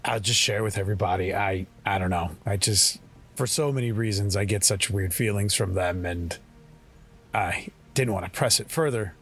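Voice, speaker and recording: A man playing a tabletop roleplaying game, describing his actions and speaking in character in a halting, earnest way. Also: fairly low pitch, a bit bright, slightly rough, soft background music.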